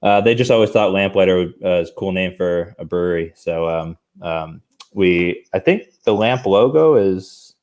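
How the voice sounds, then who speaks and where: A man's voice: sits low, lively intonation, moderate pace, darkish tone. A man talking casually as a brewery guest on a video call, rambling with lots of fillers and restarts.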